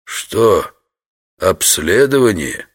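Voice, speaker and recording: An actor playing a wary old fisherman from a poor village in an audio drama, slow and questioning, curt but able to turn accommodating. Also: deep voice, sing-song, slightly rough, full character voice. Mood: suspicious, puzzled. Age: older. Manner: slow, questioning, drawn-out reactions.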